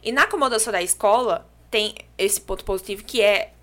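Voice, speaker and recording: Bright-voiced Brazilian woman telling her story. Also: mid-range voice, a little fast. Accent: Brazilian Portuguese, from João Pessoa. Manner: lively, animated storytelling with restarts and laughs. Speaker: podcast guest. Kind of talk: casual podcast chat.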